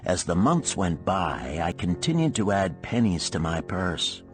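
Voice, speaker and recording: A man's voice: low voice, very animated, unhurried, soft background music. A man reading a story aloud as scripted audiobook narration.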